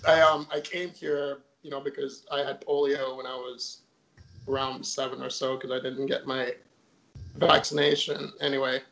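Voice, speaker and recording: A man's voice: medium pitch, little pitch movement, moderate pace, creaky, fairly bright tone, poor audio. A young man from Jamaica, a guest in a casual interview, telling his story chattily with many fillers.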